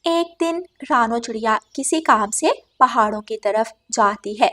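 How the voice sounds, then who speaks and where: High-pitched woman narrating a children's story. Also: lively intonation, measured pace, bright, forceful tone.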